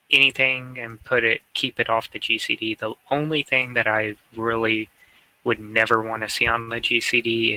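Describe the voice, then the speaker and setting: Man, mid pitch, piercingly bright forceful tone, podcast co-host, casual gaming talk, halting. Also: low-quality recording.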